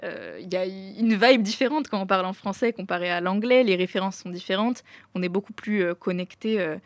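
A woman's voice: medium pitch, fairly quick, no room sound. A young woman talking casually and expressively in an informal, slangy group discussion.

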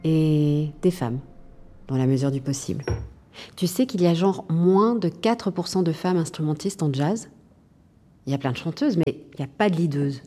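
Low-voiced, brash woman a club owner. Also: animated, moderate pace, dark tone, slight background noise. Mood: musing, wry. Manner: colloquial, sardonic, musing aloud. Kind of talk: naturalistic drama monologue and dialogue.

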